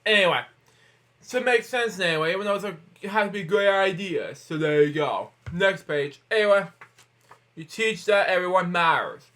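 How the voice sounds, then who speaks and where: Higher-pitched man reading aloud informally. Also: expressive, pushed and bright. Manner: halting, repetitive delivery with frequent interjections. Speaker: host of a bedtime story read-aloud series. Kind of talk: informal picture-book read-aloud with asides.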